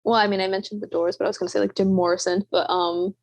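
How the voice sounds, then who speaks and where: A woman's voice: mid-range voice, level tone, fast talker, darkish tone, dry recording. A woman chatting casually as a book podcast co-host, bubbly and gushing with a giggly way of talking.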